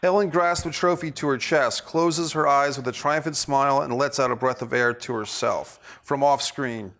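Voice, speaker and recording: A man leading a table read as director, giving casual instructions and reading stage directions in a halting way. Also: mid-range voice, a bit bright.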